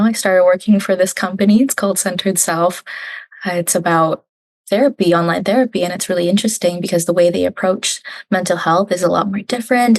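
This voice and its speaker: A young woman from Canada, a guest in a casual conversational interview, chatting and laughing often as she retells family talks. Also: mid-range voice. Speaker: digital specialist, guest.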